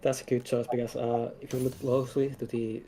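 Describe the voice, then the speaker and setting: A man chatting casually as a guest game developer, explaining things in a halting, hesitant way. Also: mid-range voice, level tone, mellow. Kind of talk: casual chat.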